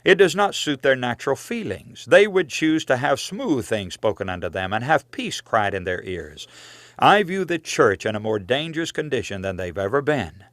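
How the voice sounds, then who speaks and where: A man's voice: medium pitch, big pitch swings, moderate pace, fairly bright tone, no room sound. A man preaching from a written text in an earnest, admonishing, emphatic way, as in a sermon read aloud with rhetorical questions. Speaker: preacher reading own religious book.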